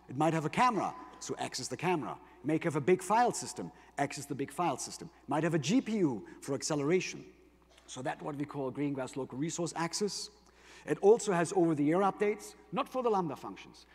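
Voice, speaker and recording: A man's voice: a little high, breathy edge. A man with a German accent giving an explanatory keynote tech presentation, emphasising points with rhetorical questions. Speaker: conference presenter for a tech company. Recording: reverberant large hall.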